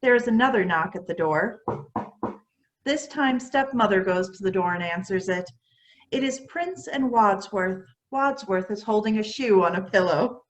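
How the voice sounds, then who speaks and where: A woman's voice: medium pitch, lively intonation, normal speed, slight background noise. A woman reading stage directions as a scripted narrator.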